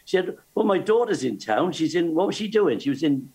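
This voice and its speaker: Brief: husky older male co-host from Wales, lively storyteller, swooping pitch. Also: a little fast. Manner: animated storyteller. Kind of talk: casual chat.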